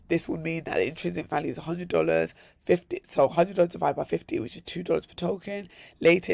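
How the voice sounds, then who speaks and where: Crypto vlogger, male, hoarse and gravelly, upbeat, repeats himself in threes. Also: on the high side, darkish tone, no room sound, clean studio sound. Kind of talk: casual screen-share walkthrough.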